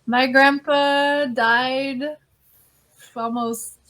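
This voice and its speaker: A slow, unhurried woman with a slightly raised voice, talking on a video call. She chats casually as an English tutor and explains sounds patiently, with easy laughter. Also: sits high, fairly even intonation, a bit bright. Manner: chatty, explains pronunciation patiently, laughs often. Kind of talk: casual conversation class. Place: standing at home during a video call.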